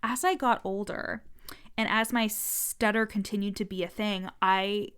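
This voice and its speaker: A woman's voice: medium pitch, fairly even intonation, measured pace, fairly bright tone, smooth. A woman business coach talking frankly in a casual podcast monologue, swearing freely, with a stutter.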